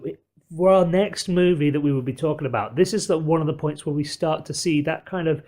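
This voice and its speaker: A man's voice: a little high, darkish tone. A man hosting a podcast discussion of films and games, talking in a wordy, analytical way. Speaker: podcast host. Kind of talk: film and game discussion.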